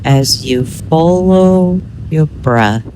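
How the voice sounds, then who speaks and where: Female meditation guide, very unhurried delivery, mid-range pitch, measured instructional phrasing, some background noise. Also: expressive, mellow, rough recording. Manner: measured, instructional, with pauses between short phrases.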